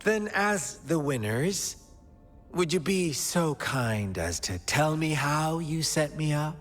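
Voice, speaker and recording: An actress playing a sly, teasing villainess in a video game, with a drawling, mock-hurt, theatrical delivery. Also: female voice, low voice, expressive, slow, in a large hall, faint music behind. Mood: wry, taunting.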